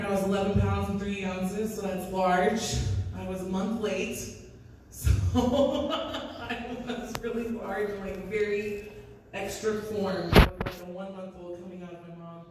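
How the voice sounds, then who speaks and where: A woman in her thirties with a raspy voice, a singer-songwriter chatting on stage between songs in a live music venue, rambling and self-deprecating. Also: mid-range voice, darkish tone, a little hiss or hum. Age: 30s. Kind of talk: casual stage banter. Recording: echoey room, mic from a distance.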